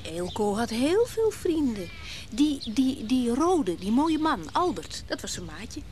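A woman's voice: a little high, very animated, slow pace, darkish tone, slight background noise. An actress playing a nervous, wistful, newly widowed woman who halts, stammers and repeats words in a naturalistic radio drama. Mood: melancholy, tender.

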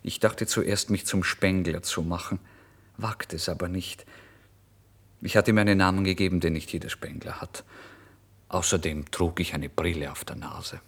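A man's voice: deep voice, steady tone, normal speed. An actor playing a starving, impulsive male writer in a theatrical, changeable first-person literary monologue for radio. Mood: furtive, brazen. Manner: theatrical, swinging from exclamations to hushed whispers. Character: starving, impulsive male writer recounting his misery and brazen lies.

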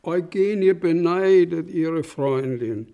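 An actor in a German radio play playing a gallant, teasing gentleman among party guests. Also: on the high side, slow, gravelly, highs cut off.

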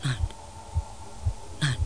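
A woman's voice: drawn-out words, a little hiss or hum, poor audio. An actress playing a female space pilot, grave and intense, in a science fiction radio play. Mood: panicked. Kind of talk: radio play acting, dramatic first-person narration.

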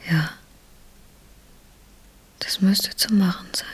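A woman acting a hurt, weary young wife in an intimate radio play, murmuring haltingly in a husky, whispery voice. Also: medium pitch, fairly even intonation, natural voice. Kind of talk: intimate naturalistic German radio drama acting.

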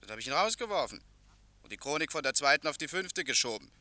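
A man's voice: fairly high pitch, very animated, fast, pushed and bright, exaggerated voice. An actor playing a harried, defensive print shop foreman in a German radio play, talking plainly. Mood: matter-of-fact, defensive.

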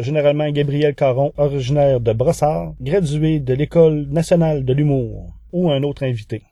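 A man's voice: a little high, dark tone, dry recording, studio quality. A man with a Quebec French accent presenting a semi-prepared review column as a podcast columnist.

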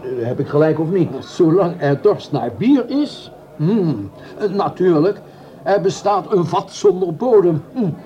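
A man's voice: sits high, animated, measured pace, highs cut off. A man acting in a radio drama as a scheming doctor with genteel manners and formal, hesitant phrasing. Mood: musing. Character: smooth, scheming doctor, genteel gentleman crook.

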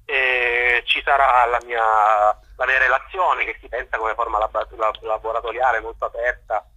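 A man speaking as a journalist guest, analysing in a halting way, searching for words and restarting phrases, over a phone call to a radio show. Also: medium pitch, flat delivery, pushed and bright. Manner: halting, searching for words with repeated false starts. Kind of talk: spoken political and historical analysis in an interview.

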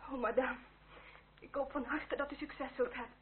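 A woman's voice: sits high, steady tone, moderate pace, background noise, lightly acted. An actress playing an earnest, tender young French noblewoman, polite and easily distressed, in a period radio drama. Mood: subdued sorrow. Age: young adult. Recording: tinny old radio play recording.

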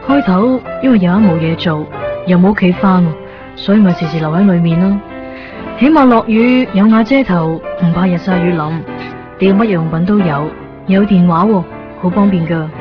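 An innocent young Hong Kong woman, played by an actress talks in a middle-pitched, plainspoken way. She is a drifter in a radio drama, casual and unfazed. The sound is dull, with faint music underneath. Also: natural voice. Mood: matter-of-fact. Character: easygoing, innocent homeless young woman, matter-of-fact. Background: faint background music.

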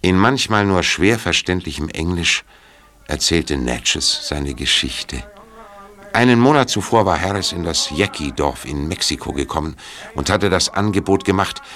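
A man's voice: low-pitched, a bit bright. A man narrating an audio drama in a scripted storytelling style. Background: music.

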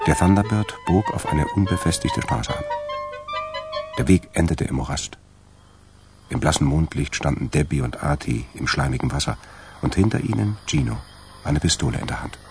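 A man narrating a radio crime drama from a script in a gravelly voice. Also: extremely low pitch, wildly swooping pitch, moderate pace. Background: music.

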